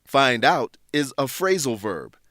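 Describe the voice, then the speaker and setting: A man's voice: mid-range voice, very animated, takes it slowly, fairly bright tone, no echo. Dry-recorded man narrating English lessons. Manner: explanatory, clearly structured. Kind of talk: scripted educational narration.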